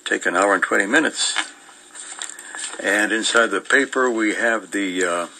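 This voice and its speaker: An older man co-hosting a radio show, reading through old listings and reminiscing in a halting, meandering, casual way with chuckles. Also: lowish voice, pushed and bright, slight background noise, rough recording.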